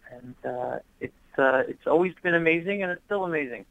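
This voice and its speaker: A man's voice: on the high side, brisk, vocal fry, a bit bright, dry recording. A man from Israel speaks fluently and earnestly, telling his own story as a cantor in a radio interview. He is heard over a telephone line that sounds muffled and lo-fi. The sound is dry.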